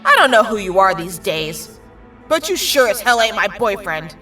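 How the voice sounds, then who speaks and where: A woman's voice: medium pitch, sing-song, normal speed, sharp tone. A woman playing an exasperated young superhero in an audio drama, blunt, pleading and indignant. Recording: distant mic, faint music.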